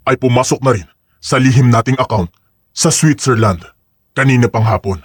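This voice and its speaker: A man playing a deferential, discreet henchman with a husky voice, reporting in a businesslike way in an audio drama. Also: medium pitch, dry recording, studio quality, lightly acted. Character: deferential male henchman reporting to his crime boss. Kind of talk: dramatized audio story acting.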